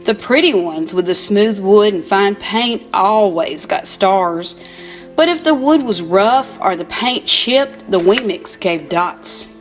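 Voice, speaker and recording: An older woman reading a children's storybook aloud in an expressive, unhurried storytelling manner. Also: medium pitch, faint music behind. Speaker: storybook reader.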